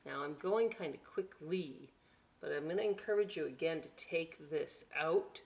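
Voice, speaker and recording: A woman hosting a storytime for young children, reading expressively with drawn-out words and chatty, encouraging asides. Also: low voice, gravelly. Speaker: children's library storytime host.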